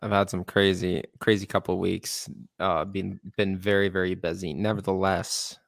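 Gravelly low man, animated chat. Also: moderate pace, no room sound. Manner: animated, filler-heavy, laughs easily. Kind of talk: casual chat.

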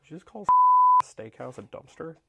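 A man's voice: fairly high pitch, huge pitch swings, moderate pace, gravelly, narrow, tinny audio, very noisy. A man trading dry, sarcastic quips as a guest in casual podcast banter. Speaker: guest co-worker.

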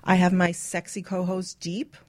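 Lower-voiced woman hosting bawdy radio chat. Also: monotone, normal speed. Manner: teasing, brash, laughs often. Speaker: radio show host. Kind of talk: casual, bawdy talk show chat.

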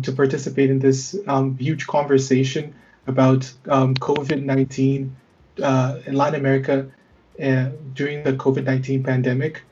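A man's voice: mid-range voice, darkish tone, lo-fi sound. A young man hosting a student podcast conversation, hesitant with many fillers and restarts. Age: young adult. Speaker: podcast host.

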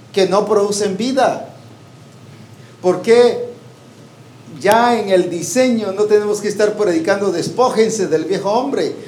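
A man with a high voice preaches a sermon, putting questions to his listeners and pausing for their replies. His voice rings through an echoey hall, and a faint hiss sits underneath. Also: darkish tone. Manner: asks the congregation questions and waits for answers. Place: church hall with a congregation.

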